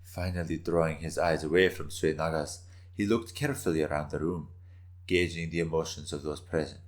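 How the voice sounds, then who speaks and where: A man's voice: rumbling low, fairly even intonation, brisk. A man narrating a scripted story in a dramatic reading style.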